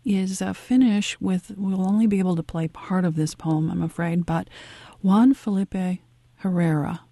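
A woman's voice: fairly low pitch, fairly even intonation, normal speed, mellow, dry recording. A woman hosting a radio talk show, taking calls with short, easygoing replies.

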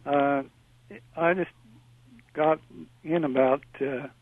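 An older man calling in to a radio show, talking haltingly with many fillers. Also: a little high, steady tone, dry recording. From Indiana. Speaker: caller. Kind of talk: call-in radio chat. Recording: phone line.

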